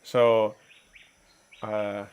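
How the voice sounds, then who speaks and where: Man, casual livestream host, relaxed, laughing, reading an article aloud. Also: lowish voice, clear, resonant tone. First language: English, Fijian not first language. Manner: relaxed, laughs often, reads haltingly.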